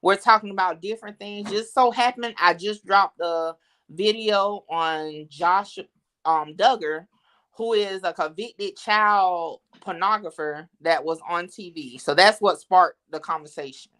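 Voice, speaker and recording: A woman's voice: fairly low pitch, unhurried. A woman hosts a casual online chat at an easy pace. She steers the talk loosely, with plenty of "um" and "like" along the way. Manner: relaxed, steering the talk with fillers. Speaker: host. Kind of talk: casual live-stream chat.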